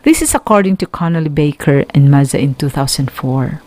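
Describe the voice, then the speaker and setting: A woman with a Filipino accent giving a scripted radio lecture as a teacher, deliberate and instructional, speaking directly to her students. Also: low-pitched, animated, dark tone. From Philippines. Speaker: teacher hosting a radio school lesson.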